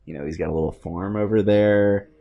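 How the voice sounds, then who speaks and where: A man chatting casually and excitably as a fan, hosting a movie commentary with plenty of slang. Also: fairly low pitch, fairly quick, vocal fry, highs cut off. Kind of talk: casual film reaction chat.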